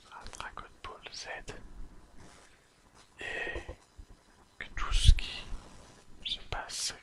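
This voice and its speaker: An ASMR host speaks entirely in a breathy whisper. The delivery is extremely slow and deliberate, broken into short phrases with pauses between them, like a relaxing spoken summary. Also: low-quality recording. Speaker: host of an ASMR anime recap channel.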